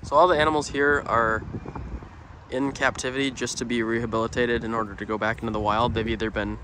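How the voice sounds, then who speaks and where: A man's voice: mid-range voice, fairly even intonation, fast talker, bright, forceful tone. A young American man narrating a casual travel vlog in a folksy, playful way. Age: young adult. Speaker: vlogger. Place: in and around a motorhome on the road. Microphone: handheld camera at arm's length. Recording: noisy handheld outdoor and vehicle audio.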